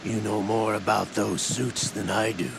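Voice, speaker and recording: An actor playing a composed older villainous intelligence officer in a video game, gravelly, measured and deliberate in speech. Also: male voice, fairly low pitch, fairly even intonation. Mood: measured, businesslike. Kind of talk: video game cutscene acting. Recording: noisy vehicle-like ambience behind.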